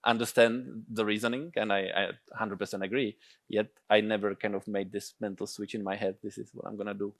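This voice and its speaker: Flat low-voiced male interviewer. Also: a little fast, dry recording, studio quality. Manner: hesitant, searching for words. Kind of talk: live interview questions.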